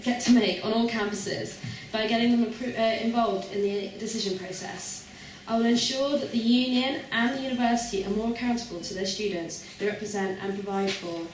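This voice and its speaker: A young woman giving a prepared student election speech, recorded from a distance. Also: medium pitch. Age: young adult. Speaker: student union president seeking re-election. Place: large echoey room at a public hustings. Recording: very echoey, distant mic, faint noise.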